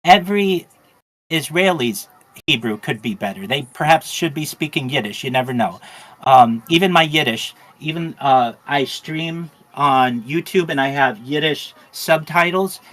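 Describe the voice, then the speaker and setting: A man who is a rabbi and a native English speaker, giving an earnest interview answer in a measured way with frequent uh and um fillers. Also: fairly high pitch, expressive, fairly bright tone, rough recording.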